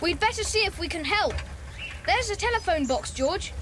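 A woman's voice: very high-pitched, expressive, moderate pace, noisy background. An actress playing a confident, sensible schoolboy who leads his club of friends in a children's audio drama. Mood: urgent.

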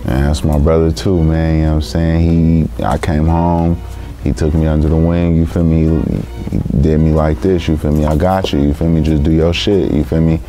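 Very deep-voiced laid-back rapper. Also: male voice, flat delivery, moderate pace, mellow, faint music behind. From San Francisco. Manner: slangy, laid-back street talk, constant "you feel me". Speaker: rapper guest. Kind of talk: casual interview talk. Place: small recording studio room.

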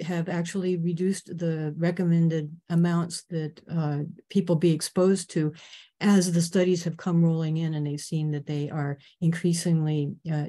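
An older woman from Canada giving an earnest, informative slide talk on a video call, clearing her throat now and then. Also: on the low side, dark tone. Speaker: presenter.

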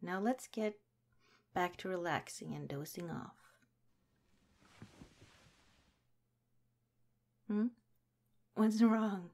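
A woman playing an affectionate, shy cop in a soft-spoken, intimate ASMR roleplay, gentle and hesitant with her partner. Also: on the low side, takes it slowly. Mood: caring, soothing.

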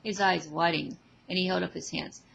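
A woman's voice: on the low side, fairly even intonation, moderate pace, vocal fry, fairly bright tone, husky, highs cut off. A woman reading scripted story narration as the narrator.

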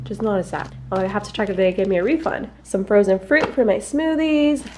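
A woman's voice: mid-range voice, animated, darkish tone, slight background noise. Upbeat young woman vlogging at home. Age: young adult. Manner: upbeat and chatty, quick bursts of words. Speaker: lifestyle vlogger. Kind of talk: casual vlog talk to camera. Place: small apartment kitchen indoors. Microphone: handheld camera close to face.

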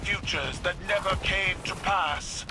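A woman's voice: fairly low pitch, takes it slowly. A woman playing a proud, stern alien warrior empress in a video game, formal, solemn and commanding. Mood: scornful. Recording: voice over game music.

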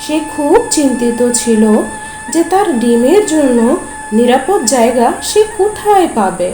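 A woman with a high, light voice tells a children's animal story slowly and gently. Soft background music runs under her narration. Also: sing-song, poor audio.

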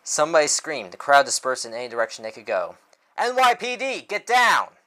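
A man's voice: mid-range voice, animated, fast, fairly bright tone, pitched above the performer's own voice, natural voice. A man voicing a weary, irritable detective narrating a noir story in the first person. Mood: urgent.

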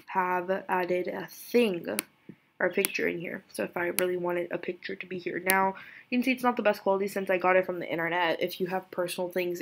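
Chatty giggly young woman, gravelly voice. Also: a little hiss or hum. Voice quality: voice lower than usual today. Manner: chatty, giggly, self-deprecating, thinks aloud. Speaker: tutorial creator. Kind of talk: casual screen-recorded software tutorial.

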